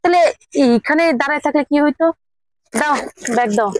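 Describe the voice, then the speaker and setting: High, fast-talking bossy village woman, played by an actress. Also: no room sound, close to an everyday voice. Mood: impatient, nagging. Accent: Bangladeshi regional. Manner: nagging, blunt. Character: bossy, sharp-tongued village woman, scolding and impatient.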